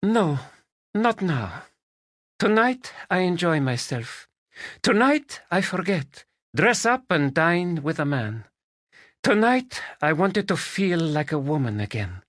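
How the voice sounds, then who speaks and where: A man's voice: a little high, big pitch swings, slow pace, a bit bright, no room sound, studio quality, pitched above the performer's own voice, full character voice. A man voicing a proud, fiery Spanish noblewoman in an audiobook, speaking emotional, slightly broken English with a Spanish accent. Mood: pleading. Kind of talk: audiobook character voice.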